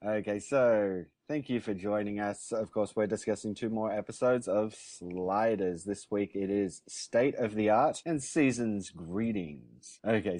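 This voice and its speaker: A man's voice: on the low side, a little mellow, no echo. A man hosting a podcast in an easygoing way, laughing now and then between his remarks. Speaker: podcast host. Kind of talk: casual chat.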